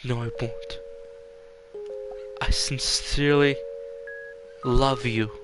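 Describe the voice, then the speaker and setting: A man voicing an earnest, guilt-ridden young man from a visual novel, halting and stammering in a subdued way. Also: mid-range voice, steady tone, close to an everyday voice. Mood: anxious, earnest. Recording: music behind the voice.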